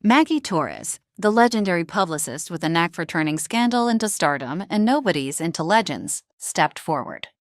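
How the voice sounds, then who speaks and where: A woman reading scripted dramatic fiction as narrator, in a measured storytelling manner. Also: on the low side, lively intonation, dry recording, studio quality.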